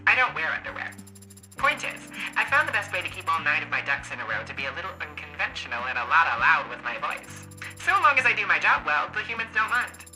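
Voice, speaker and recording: A woman voicing a brash, flamboyant, chatty female android court adjutant in a video game, loud and theatrical. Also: sits low, big pitch swings, fast, faint music behind, pitched above the performer's own voice, heavily acted, rough recording. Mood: boastful, breezy. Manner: loud, theatrical, swooping, playful. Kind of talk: video game character voice acting.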